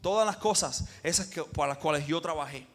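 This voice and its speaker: A man's voice: on the high side, fairly bright tone. Impassioned preacher, man. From Cuba. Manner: impassioned preaching, emphatic swells and pauses. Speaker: pastor. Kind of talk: sermon.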